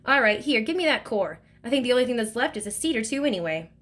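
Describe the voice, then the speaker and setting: A chatty old man from a storybook, kind and full of jokes, who rambles on in a folksy way. A woman acts him out, with his voice set fairly high. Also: lively intonation, quick pace, a bit bright. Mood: teasing. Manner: joking, folksy, talkative. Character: teasing kindly older male driver.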